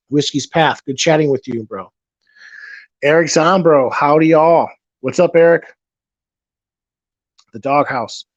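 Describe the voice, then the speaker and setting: A man's voice: on the high side, takes it slowly, long pauses, no echo, muffled recording. A man hosting a casual late-night livestream, rambling and restarting his sentences, reading out chat messages, his voice getting worn after a long session. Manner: rambling, repeats himself, restarts.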